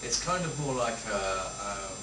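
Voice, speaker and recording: A man's voice: fairly low pitch, expressive, measured pace, recorded from a distance. A British man hosting a casual unscripted news chat, teasing and mock-grumbling as he goes. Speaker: host of an amateur news show. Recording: echoey room, off mic, noisy hum.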